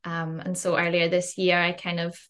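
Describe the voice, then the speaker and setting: A Scottish woman talks casually as a podcast guest, her voice bright and a little low. She rambles with fillers and soft restarts. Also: monotone, moderate pace. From Western Isles, Scotland. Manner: chatty, many fillers like "um" and "kind of". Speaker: guest, life purpose coach. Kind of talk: casual interview chat.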